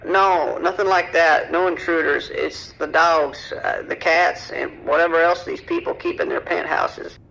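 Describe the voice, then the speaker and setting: An actor playing a distraught, soft-hearted male apartment resident making a hesitant emergency call in an audio drama, through a phone filter. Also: fairly high pitch, fairly even intonation, unhurried, gravelly, few pauses, heavily acted. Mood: anxious, shaken. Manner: hesitant, anxious, pleading. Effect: phone or radio filter. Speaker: emergency caller. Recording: dull, band-limited emergency phone line.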